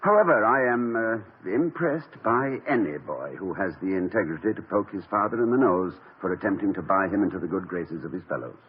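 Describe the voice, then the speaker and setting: An actor playing an erudite, dignified college president who speaks formally and with dry wit in a 1950s radio drama. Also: male voice, deep voice, slight background noise, lightly acted. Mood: dry, wry. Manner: formal, measured, dryly witty. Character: erudite, dignified, wry college president, middle-aged man. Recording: dull lo-fi old radio broadcast.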